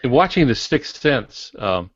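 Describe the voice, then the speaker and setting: A middle-aged man who writes fiction, chatting on a podcast in a measured, explanatory way with dry humor. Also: medium pitch, big pitch swings, a bit bright. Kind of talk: casual podcast discussion. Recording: dull audio in echoey room.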